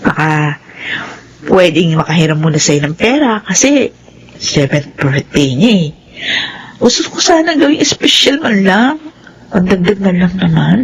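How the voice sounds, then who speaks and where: An actress playing a needy, touchy older sister who wants money, in a melodramatic radio drama style. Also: female voice, on the low side, sing-song, a little slow, long pauses, slight background noise. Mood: sheepish, wheedling. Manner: melodramatic, wheedling then indignant.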